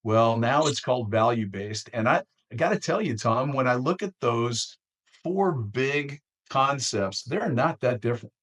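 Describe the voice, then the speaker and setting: A man's voice: mid-range voice, expressive, a little slow, a bit bright. A middle-aged or older American man hosting a conversational interview, with wry, folksy turns of phrase. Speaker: podcast host.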